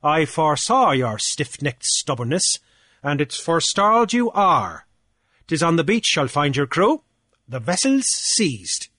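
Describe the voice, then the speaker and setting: A man's voice: on the high side, big pitch swings, a little slow, bright, forceful tone, no room sound, clean studio sound, pitched above the performer's own voice, exaggerated voice. A man putting on a pompous drunken beachcomber with a lilt. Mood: blustering. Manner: bombastic, wordy, theatrical. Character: pompous drunken male beachcomber official, blustering.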